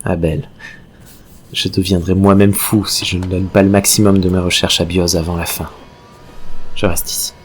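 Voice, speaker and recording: A man's voice: low voice, level tone, moderate pace, pauses often, lightly acted. An actor playing an obsessive, exalted male researcher given to professorial philosophical tirades in a French audio drama. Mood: grave, resigned. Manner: professorial, fervent, rambling monologues. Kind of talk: French science-fiction audio drama acting. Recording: clear background noise and ambience.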